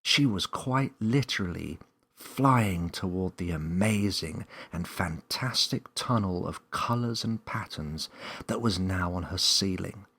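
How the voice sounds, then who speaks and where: A man's voice: sits low, slow, a bit bright, slightly rough. A man reading a children's story aloud as a scripted narrator.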